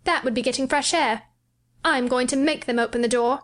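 A woman's voice: high-pitched, normal speed, a bit bright, voice pushed up. A woman reading an audiobook, voicing a sickly, spoiled ten-year-old boy who talks in a demanding, coolly indifferent way. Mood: eager. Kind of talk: audiobook character voice.

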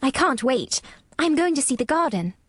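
Woman as a very high-pitched, frank little girl. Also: big pitch swings, normal speed, dry recording, clean studio sound. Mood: eager, delighted. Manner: blunt, wondering, emphatic. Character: frank, inquisitive, eager young girl. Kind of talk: audiobook character dialogue.

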